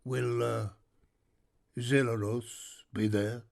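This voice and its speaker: An actor playing a weary, humble captive in a game cutscene, with a creaky voice and a halting, resigned manner. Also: male voice, medium pitch, flat delivery, a little mellow. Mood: humble, uneasy. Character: weary, humble captive traitor facing judgment. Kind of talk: dramatic video game cutscene acting.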